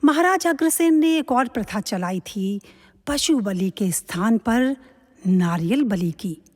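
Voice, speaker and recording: A woman narrating a devotional legend as scripted, measured storytelling in an audio drama. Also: a little high, animated, a little mellow. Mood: measured, reverent. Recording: long reverb.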